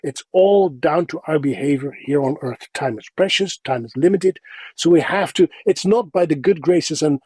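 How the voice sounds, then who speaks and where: A middle-aged man from the Netherlands with a Dutch accent, a Dutch native speaker, telling stories in a casual, expressive interview. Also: a little high, moderate pace, slightly rough, dry recording. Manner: expressive, animated, self-deprecating humor. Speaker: interview guest. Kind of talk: casual conversational storytelling.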